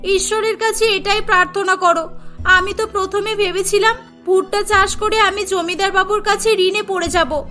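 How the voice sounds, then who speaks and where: A woman's voice: very high-pitched, sharp tone. A woman voicing a humble, earnest poor village mother in a cartoon story. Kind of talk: Bengali cartoon story dialogue.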